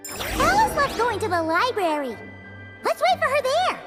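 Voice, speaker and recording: A woman voicing a cheerful, excitable little floating fairy girl with a squeaky, childlike voice in a video game. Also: moderate pace, heavily acted. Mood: bright, curious. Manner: speaks of herself in the third person. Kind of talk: video game cutscene acting. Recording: loud music behind the voice.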